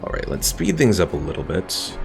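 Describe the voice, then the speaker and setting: Low gravelly man streaming a game. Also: big pitch swings, fairly quick. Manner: loose and rambling, full of "like" and "kinda". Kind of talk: casual live-stream commentary reading chat. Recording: game music playing under the voice.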